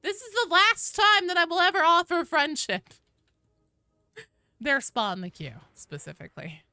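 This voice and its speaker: A woman's voice: very high voice, expressive, normal speed, bright, forceful tone, no room sound, soft background music. A woman chatting casually and expressively with viewers as she hosts a gaming livestream. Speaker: game streamer and host.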